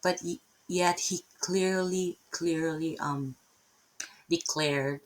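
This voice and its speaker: A woman's voice: on the low side, steady tone, slow pace, poor audio. A middle-aged woman with a Filipino accent giving a casual, rambling Bible lesson, often checking in with "right?" and breaking off mid-thought. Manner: rambling, self-interrupting, tags many lines with "right?". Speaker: Bible teacher. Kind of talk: casual unscripted Bible teaching.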